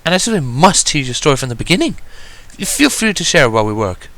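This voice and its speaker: A man's voice: fairly high pitch, big pitch swings, a bit bright, pitched above the performer's own voice. A man voicing an elegant, genteel female pony dressmaker with refined, affected speech in a story reading. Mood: poised. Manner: refined, affected, drawn-out emphasis.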